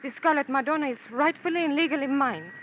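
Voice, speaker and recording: Woman doing a worried sweet talking smuggler lady, high pleading voice, old radio drama, faint noise. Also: fast talker, dull audio, natural voice. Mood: anxious, pleading. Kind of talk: old-time radio detective drama acting.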